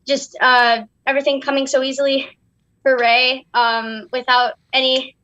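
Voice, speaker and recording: A woman's voice: sits high, little pitch movement, moderate pace, bright, forceful tone. A young woman in casual, opinionated chat with a hesitant, rambling delivery. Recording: dull, roomy sound.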